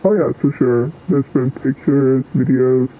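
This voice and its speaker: A teenage guest answering interview questions hesitantly, with many ums and likes. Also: male voice, medium pitch, measured pace. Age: teens. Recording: tinny phone-like line with faint noise.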